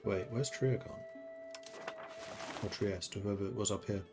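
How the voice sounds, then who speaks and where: A man's voice: fairly low pitch, measured pace, gravelly, music in the background. A man from the Netherlands who grew up in England, streaming a strategy game and thinking aloud in casual commentary, chatting with viewers and laughing often.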